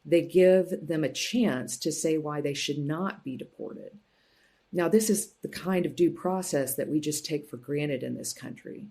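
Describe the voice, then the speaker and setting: A woman speaking as an expert guest, explaining things step by step in a measured, conversational way. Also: low-pitched, warm tone. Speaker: guest legal and policy expert.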